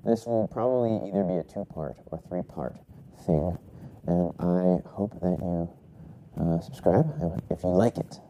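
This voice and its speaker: A man's voice: sits low, a little slow, dark tone, a little hiss or hum, poor audio. A man recovering from a cold, congested and coughing, rambling casually through his solo podcast with many fillers and self-corrections. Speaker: podcast host.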